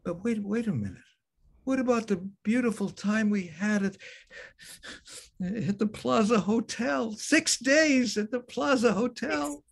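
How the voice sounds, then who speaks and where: A man's voice: high-pitched, unhurried, creaky, husky, exaggerated voice. A man acting an exasperated, weary newlywed lawyer husband in a comedy scene, stammering and repeating himself when flustered. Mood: flustered, pleading.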